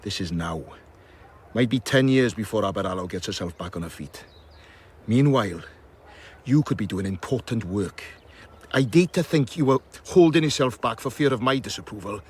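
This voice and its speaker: An actor playing a blunt but caring doctor who urges a colleague on, in a naturalistic radio drama. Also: male voice, fairly low pitch, lively intonation, moderate pace, breathy edge. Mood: persuasive, sincere. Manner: frank, persuasive, gently urging.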